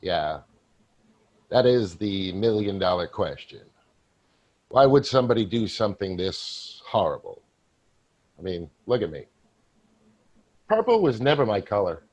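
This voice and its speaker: A man in a staged play reading, playing a dead coworker's wry, weary ghost who speaks in a halting, reflective way. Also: on the low side, very animated, a little mellow. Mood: wry, sardonic. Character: apparition of dead male coworker, wry, weary, darkly joking.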